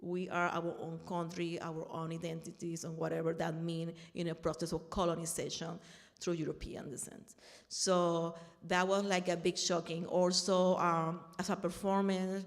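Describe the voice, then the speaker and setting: A woman's voice: lowish voice, level tone, normal speed, in a large hall. A middle-aged woman from Puerto Rico with a Puerto Rican Spanish accent, earnestly telling her story at a panel and often restarting while she looks for words. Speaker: panel speaker, artist and activist. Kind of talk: personal talk at a panel.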